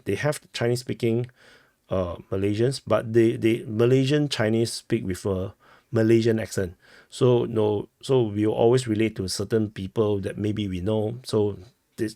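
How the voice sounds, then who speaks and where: A man with a Singaporean accent giving informal news commentary as a host, halting and often rephrasing himself. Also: lowish voice, mellow, dry recording, studio quality. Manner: halting, repeats and rephrases phrases.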